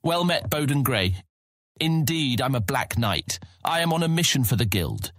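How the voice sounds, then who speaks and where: An actor playing an earnest, guarded young knight on a mission in a dramatized fantasy audiobook. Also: male voice, mid-range voice, very animated, moderate pace, a bit bright, dry recording, clean studio sound. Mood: guarded, formal.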